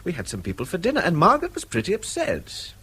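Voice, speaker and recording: Husky, very animated English uncle. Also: male voice, sits high, fast talker, exaggerated voice. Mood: apologetic, embarrassed. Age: middle-aged. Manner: hesitant, apologetic. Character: apologetic, well-off middle-aged uncle, ill at ease. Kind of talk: radio drama acting.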